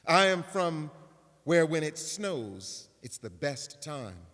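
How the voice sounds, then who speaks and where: A man's voice: on the high side, very animated, slow pace, a bit bright. A man giving a dramatic reading of a poem as an actor. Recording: reverberant hall recording.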